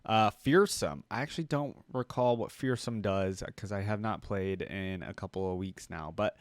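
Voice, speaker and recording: A man's voice: lowish voice, moderate pace, no room sound, clean studio sound. A man hosting a reaction video, rambling conversationally and musing aloud in casual commentary. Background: game music.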